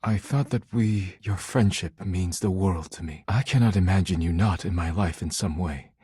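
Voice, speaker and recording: A man voicing an earnest, proud poet in a video game, speaking in formal, solemn, stilted diction with a husky voice. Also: low voice, normal speed, dark tone, dry recording, clean studio sound. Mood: tender, warm. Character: earnest, proud male poet devoted to dishware, formal and intense. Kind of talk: video game character voice acting.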